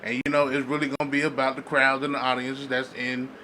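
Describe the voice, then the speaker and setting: Argumentative man with a bright, sharp voice. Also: medium pitch, little pitch movement, fast, slight background noise. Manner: argumentative, slangy, profane, repeats words for emphasis. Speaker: podcast co-host. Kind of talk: casual podcast debate.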